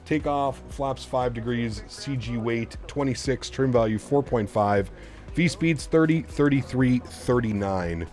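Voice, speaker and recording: A man's voice: mid-range voice, a little slow, darkish tone, soft background music. A man streaming a flight simulator, thinking aloud in a casual, chatty way and asking his viewers for their opinions. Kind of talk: casual live-stream commentary while programming a flight computer.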